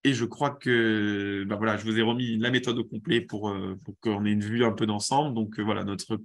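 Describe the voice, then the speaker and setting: A man with a head cold presenting in a webinar, explaining a method in a halting way with many restarts. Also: on the low side.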